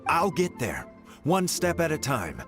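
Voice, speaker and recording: A man's voice: fairly high pitch, wildly swooping pitch, unhurried, fairly bright tone, faint music behind, heavily acted. A man playing an ambitious, hot-headed young apprentice in a video game, cocky and eager in manner. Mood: confident, eager.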